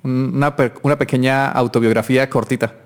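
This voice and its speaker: A man hosting a music production podcast, asking questions in a relaxed, friendly, chatty way. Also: medium pitch, a little fast. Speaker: podcast host. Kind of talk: casual interview.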